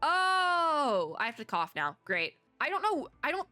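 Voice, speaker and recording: Young woman, sniffly and hoarse, chatty gaming commentary, bright voice, dry close sound. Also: high voice, very animated, unhurried, no echo, soft background music. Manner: chatty, rambling, giggly. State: sniffly, losing her voice. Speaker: VTuber streamer.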